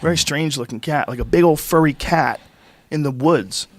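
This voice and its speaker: A man's voice: on the high side, normal speed, no room sound. Man, podcast host, stuffy from a cold, swears a lot, dry close studio mic. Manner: animated, profane storytelling. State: congested from a cold. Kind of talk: casual chat. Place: studio with brick wall. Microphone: studio mic on boom arm, headphones.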